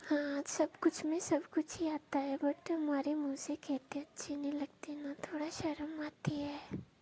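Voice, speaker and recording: High-pitched, hushed young woman on phone. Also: flat delivery, unhurried. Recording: noisy phone call recording.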